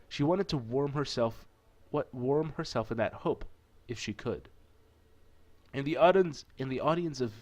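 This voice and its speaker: A man with a medium-pitched voice reads a visual novel aloud for a playthrough. He is informal and often corrects himself, slipping between narration and casual asides. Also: highs cut off. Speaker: let's play narrator.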